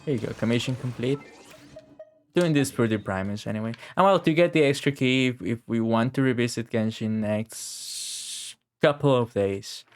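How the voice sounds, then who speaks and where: A man's voice: mid-range voice, normal speed. Sweary man streaming a game over music. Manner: sweary, offhand muttering to himself while playing. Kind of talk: casual live gameplay commentary.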